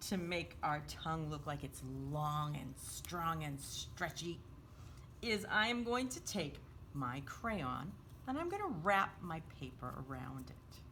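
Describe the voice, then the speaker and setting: Animated woman teaching a children's craft. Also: lowish voice, gravelly, a little hiss or hum. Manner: simple, encouraging instruction, often speaking of self in third person. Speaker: children's craft storytime host. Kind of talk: step-by-step craft demonstration for young children.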